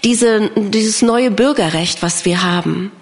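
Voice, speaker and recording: A woman's voice: medium pitch, slow pace. A woman interpreting a sermon live, phrase by phrase.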